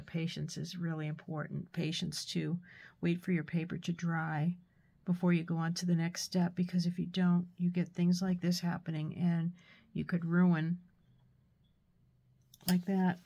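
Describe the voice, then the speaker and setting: A woman with a stuffy nose from a cold, giving a relaxed, chatty painting tutorial with fillers and soft asides. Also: on the low side, creaky. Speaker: art tutor.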